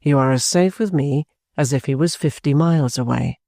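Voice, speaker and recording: A man reading an audiobook, voicing an earnest, formal gentleman who speaks in a measured, reassuring way. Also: on the high side, gravelly, dry recording, clean studio sound. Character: earnest gentleman, calm, persuasive. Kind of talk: audiobook character voice.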